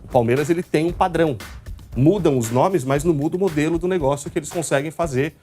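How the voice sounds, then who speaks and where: Male live football show host, Brazilian accent from São Paulo state, rapid casual delivery, music underneath. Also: fairly high pitch, dark tone. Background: background music.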